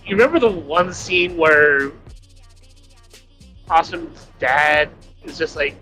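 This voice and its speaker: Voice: mid-range voice, takes it slowly, bright, forceful tone, soft background music. Giggly friend calling in from a car. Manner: giggly, rambling. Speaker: friend on call. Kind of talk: casual chat. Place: in a car, driving.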